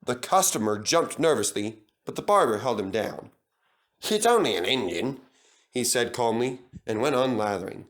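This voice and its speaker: A man's voice: medium pitch, moderate pace, few pauses, a bit bright. A man narrating a scripted children's story in a dramatic style.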